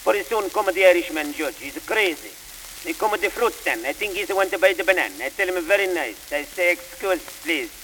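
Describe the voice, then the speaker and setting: An actor playing an excitable Italian immigrant fruit seller who complains earnestly to the judge in broken English. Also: male voice, high voice, a little fast. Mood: complaining. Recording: hissy, echoey old record.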